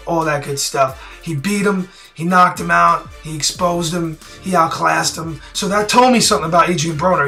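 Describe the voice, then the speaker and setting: A man with a raspy, hoarse voice, worn out from yelling, talking straight to camera in a blunt, rambling and opinionated way. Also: fairly high pitch. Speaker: boxing prediction vlogger. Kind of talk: casual direct-to-camera talk.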